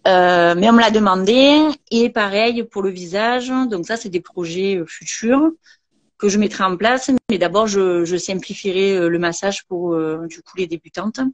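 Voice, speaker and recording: Southern French woman, halting chatty. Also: medium pitch, no echo, highs cut off. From southern France. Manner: hesitant, many fillers and restarts. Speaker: massage trainer and beautician. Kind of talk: casual interview chat.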